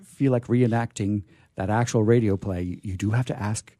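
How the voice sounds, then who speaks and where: A man's voice: lowish voice, steady tone, normal speed, warm tone, no room sound, clean studio sound. A man hosting a radio readings show in a conversational way, with playful, florid phrasing. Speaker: host introducing readings.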